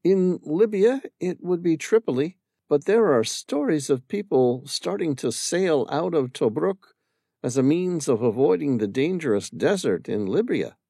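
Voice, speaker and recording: Male narrator as a guarded, resolute woman alone abroad; raised pitch, slow, wary delivery. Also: expressive, gravelly, dark tone, husky, no echo, studio quality, exaggerated voice. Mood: cautious. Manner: wary, quick to shut down.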